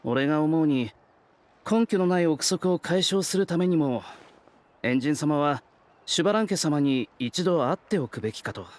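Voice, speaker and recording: Man playing a brisk, deadpan young warrior. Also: fairly high pitch, natural voice. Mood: matter-of-fact. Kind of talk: Japanese video game voice acting.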